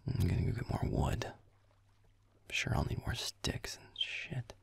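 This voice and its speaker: A young man playing a grumpy, sardonic werewolf boyfriend in an intimate audio roleplay, muttering deadpan in a breathy, gravelly murmur as he games. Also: low voice, measured pace, dry recording, whispered, full character voice.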